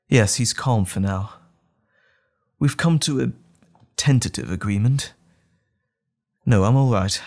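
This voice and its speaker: A man playing a gentle, troubled doctor in an audio drama, speaking earnestly and formally with some hesitation. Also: medium pitch. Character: gentle, troubled, apologetic doctor with a wild alter ego.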